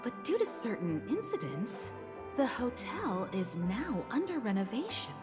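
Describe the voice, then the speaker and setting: An actress playing a calm, shrewd, courteous leader in a video game, speaking in a measured, diplomatic and guarded way. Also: female voice, fairly high pitch, music in the background. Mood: calm, wary. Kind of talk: scripted game dialogue.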